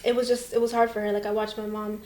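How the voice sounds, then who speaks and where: A woman's voice: mid-range voice, flat delivery, fast talker, a little mellow, slight background noise. A young woman from Portland, Maine, a makeup artist talking casually as an interview guest, with many fillers. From Portland, Maine, USA. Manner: chatty, many fillers, quotes others.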